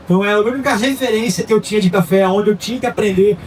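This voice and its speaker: A man's voice: high voice, racing, distant mic, a little hiss or hum. A man in his thirties, telling a story to a live audience in a chatty way full of asides. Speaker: coffee business founder giving a talk.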